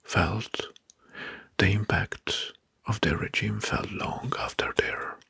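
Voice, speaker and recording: A man narrating history in an ASMR style, in a breathy, husky whisper, slowly and deliberately in short phrases. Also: fairly low pitch, fairly even intonation, sharp tone, no room sound, studio quality. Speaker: ASMR video host. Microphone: close microphone.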